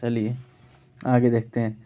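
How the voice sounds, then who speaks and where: A man's voice: mid-range voice, completely flat delivery, a little slow, clean tone. A man with an Indian accent giving an informal exam-prep physics lesson as a teacher, working through questions. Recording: dull, lo-fi sound.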